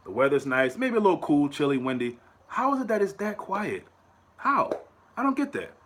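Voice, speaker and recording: An American man hosting his own fan channel, talking in a casual, animated way to his viewers, often repeating words and pushing up into rhetorical questions. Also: on the high side, normal speed. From United States. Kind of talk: casual solo commentary to camera.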